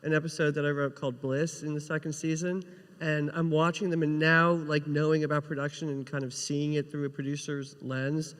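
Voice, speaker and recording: A man with a fairly high-pitched voice shares casual anecdotes on a panel. He acts out little quotes as he goes, and his voice carries the long echo of a big hall. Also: flat delivery, a little fast, a bit bright. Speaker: TV writer, panel guest. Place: large indoor convention panel room. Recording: echoey hall with long reverb.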